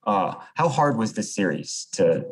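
A man's voice: on the low side, very animated, measured pace, husky. An American man working as a sports reporter, putting questions to players at a press conference.

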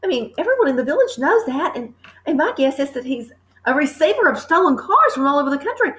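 A woman voicing a gentle, kindly schoolteacher in a storybook, speaking quietly and with some hesitation. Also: high-pitched, sing-song, quick pace. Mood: quietly concerned.

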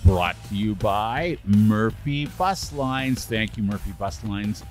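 A man in his sixties co-hosting a casual podcast over a video call, teasing in a wry, drawn-out way into a studio mic. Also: lowish voice, over music. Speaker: podcast co-host. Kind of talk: casual chat. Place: home room with brick wall. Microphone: studio mic on arm, headphones, video call.

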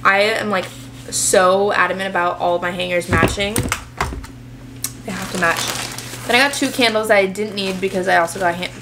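A woman's voice: mid-range voice, fairly even intonation, normal speed. Young woman vlogger, chatty and rambling, a bit stuffy-nosed, dash-mounted camera, heavy noise behind. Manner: chatty, rambling, restarts and "like" fillers. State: slightly nasal from a mild cold. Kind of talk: casual vlog chat to camera. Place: in a car, then a bright bedroom. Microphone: camera mounted on the dashboard.

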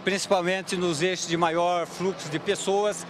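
Brazilian cop outside, high voice, traffic behind. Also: male voice, little pitch movement, measured pace. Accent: Brazilian Portuguese. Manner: hesitant with fillers. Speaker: police officer. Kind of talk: official statement. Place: outdoors on a street.

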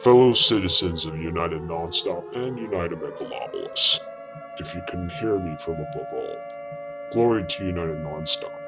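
A man voicing a fleet commodore who gives a grave emergency address to a nation under nuclear attack. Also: low voice. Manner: grave, solemn, measured. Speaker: wartime leader giving an emergency address. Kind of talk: emergency broadcast speech. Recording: speech over loud music.

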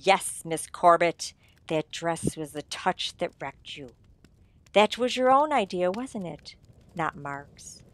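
Woman acting a slow, sly, gloating sleuth. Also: fairly low pitch, expressive. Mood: accusing, taunting. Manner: theatrical, drawling, mocking. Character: sly, gloating amateur sleuth taunting a poisoner. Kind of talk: radio mystery acting.

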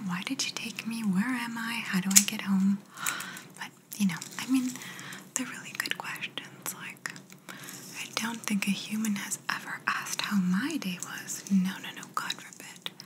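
A young woman playing a smug, chatty alien examiner in a soft-spoken ASMR roleplay, matter-of-fact and condescending, close to the microphone. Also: fairly high pitch, close to an everyday voice, poor audio. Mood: wry, superior. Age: young adult. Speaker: ASMR creator.

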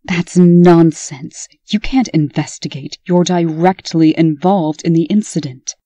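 A stern princess in charge, played by a woman in a comic dub. Her voice is dark and firm, with a very dry sound. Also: fairly low pitch, a little slow, no room sound. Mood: challenging. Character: stern, authoritative princess investigator. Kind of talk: comic dub character acting.

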